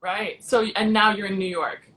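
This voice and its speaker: A woman's voice: mid-range voice, fairly even intonation, moderate pace, a bit bright, poor audio. A woman hosting a casual live-stream interview, chatty and gushing, laughing often. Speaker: host.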